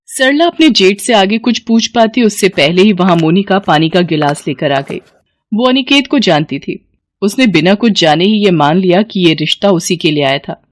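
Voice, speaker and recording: A woman's voice: medium pitch, expressive, normal speed. A woman reading a scripted moral story as its narrator.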